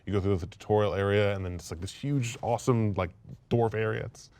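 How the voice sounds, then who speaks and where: A man chatting casually as a panelist, giving his opinions in a halting way full of filler words. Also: fairly low pitch, a little mellow. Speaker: talk show panelist.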